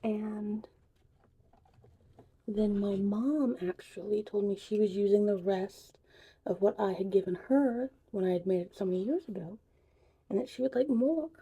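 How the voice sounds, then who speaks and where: A woman hosting a soft-spoken ASMR tutorial roleplay, chatting casually in a hushed voice that drifts in and out of whispers. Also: mid-range voice, a little slow, slightly rough. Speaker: ASMR creator and host.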